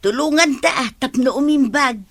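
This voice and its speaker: Bright-voiced generous neighbor. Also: female voice, high-pitched, moderate pace. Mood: kindly. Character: helpful, generous neighbor woman bringing vegetables. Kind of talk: Ilocano comedy radio drama acting.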